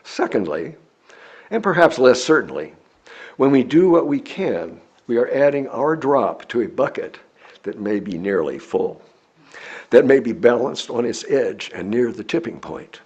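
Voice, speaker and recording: An American man reading a sermon from a script, speaking in measured, deliberate phrases with emphatic pauses. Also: medium pitch, big pitch swings, darkish tone, husky, highs cut off.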